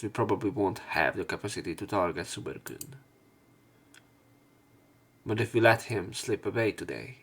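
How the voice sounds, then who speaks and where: A man reading visual novel narration aloud for a let's play. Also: on the low side.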